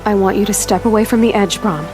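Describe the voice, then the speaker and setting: An actress playing a resolute young outcast huntress in a video game, guarded but compassionate, speaking directly and with measure. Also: female voice, mid-range voice, fast, lightly acted. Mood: calm, soothing. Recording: music under the voice.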